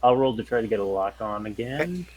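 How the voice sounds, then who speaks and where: A man's voice: fairly low pitch, expressive, a little fast, slight background noise, low-quality recording. A man talking casually as a player in a tabletop role-playing game. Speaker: player, sensor operator.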